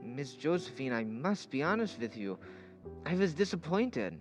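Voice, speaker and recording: An actor playing a gentle, earnest foreign professor with a European accent in an old-time radio drama, speaking formally and haltingly. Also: male voice, fairly high pitch, sing-song, music in the background. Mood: grave, regretful.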